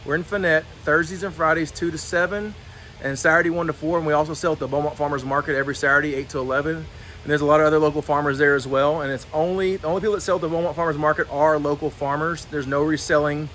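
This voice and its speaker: Fast-talking farmer man, higher-pitched. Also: steady tone, fairly bright tone. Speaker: farmer showing the farm. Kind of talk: casual explanatory chat on a farm tour. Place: outdoors and in greenhouses on a farm. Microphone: phone camera a step away. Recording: outdoor phone recording with background noise.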